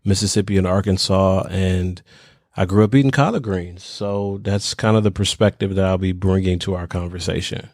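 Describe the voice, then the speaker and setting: A man's voice: low-pitched, fairly even intonation, moderate pace, a little mellow, no echo. Teasing man, dry close mic. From Michigan, US. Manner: playful teasing, stumbles and restarts. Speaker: podcast co-host. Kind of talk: casual chat.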